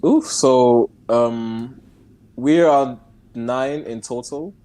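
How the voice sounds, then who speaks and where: Young man, from nigeria, relaxed chatty guest, laughs a lot, loose restarts, video call. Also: medium pitch, drawn-out words. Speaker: guest activist. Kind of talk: casual interview conversation.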